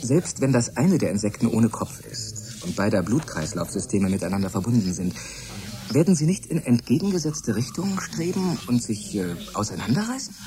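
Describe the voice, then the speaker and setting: An actor playing a shy, lovesick young research assistant, earnest and stammering, in a German radio drama. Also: male voice, mid-range voice, sing-song, moderate pace, crowd noise, natural voice. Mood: puzzled. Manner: hesitant, stammering.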